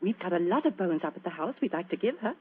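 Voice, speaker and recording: A woman's voice: fairly low pitch, expressive, quick pace, slight background noise, close to an everyday voice. A woman playing a kindly, concerned doctor's wife in a radio drama, polite and persuasive. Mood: helpful, persuasive. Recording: muffled old radio recording.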